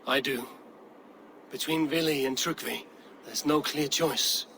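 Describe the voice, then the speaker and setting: A resolute Viking clan leader gives orders in an earnest, steady voice. The tone is bright and clear, at a middle pitch. Faint ambient noise runs behind him. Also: male voice, measured pace. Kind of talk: video game cutscene acting.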